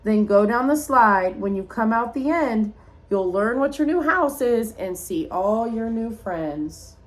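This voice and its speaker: Woman reading as a reassuring school lady, fairly high. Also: expressive, measured pace. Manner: reassuring instructions in rhyme. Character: encouraging, kindly school staff lady guiding a new pupil.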